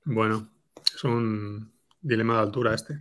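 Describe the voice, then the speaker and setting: A man's voice: lowish voice, steady tone, a little slow, a bit bright. A man with an accent from Spain giving casual, conversational answers as an interviewed scriptwriter, often checking for agreement. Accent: Spanish from Spain. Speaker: scriptwriter guest being interviewed.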